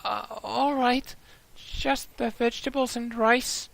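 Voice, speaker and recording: Male reader doing a timid, hesitant young woman, high and soft. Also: steady tone, takes it slowly, vocal fry, no room sound, full character voice, low-quality recording.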